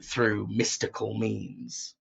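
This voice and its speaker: A man's voice: on the low side, little pitch movement, takes it slowly, gravelly, slightly rough, highs cut off. A man talking casually in an interview as a writer explaining his work in a conversational way. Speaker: audio drama writer and creator.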